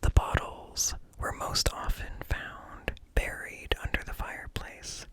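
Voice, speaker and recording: Male, whispered ASMR reading, unhurried pace, short paused phrases, close-up mic. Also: poor audio. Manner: hushed, slow, with pauses between short phrases. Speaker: ASMR creator reading encyclopedia articles aloud. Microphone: close-up microphone with ear-to-ear stereo.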